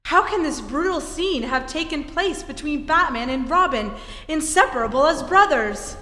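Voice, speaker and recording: A woman's voice: high-pitched, expressive, moderate pace, a bit bright. A woman giving dramatic scripted narration in the style of a 1940s radio serial. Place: theatre stage before an audience. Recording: echoey hall, live stage performance.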